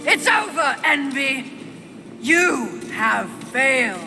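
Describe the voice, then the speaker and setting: A proud, bitter warrior woman speaks in long reverb over clear music. Her words come very slowly, cold and formal, in the dramatic manner of a video game heroine. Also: sits high, very animated, exaggerated voice. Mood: scornful defiance. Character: proud, bitter, imperious female horseman warrior of apocalypse.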